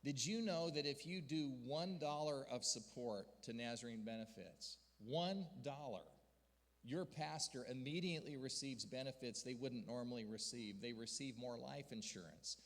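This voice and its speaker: A man's voice: mid-range voice, moderate pace. A man giving an earnest church report and story to a gathering, building to emphatic peaks. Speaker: denominational church leader speaking to a district gathering. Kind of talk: sermon-style report with slides. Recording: long hall reverb.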